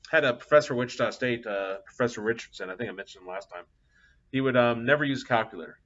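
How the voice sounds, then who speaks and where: Middle-aged man, math instructor, relaxed rambling lecture, muffled lo-fi mic. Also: medium pitch, fast talker. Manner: casual, chatty, thinking aloud with fillers. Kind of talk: live class lecture with anecdotes. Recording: dull lo-fi classroom capture.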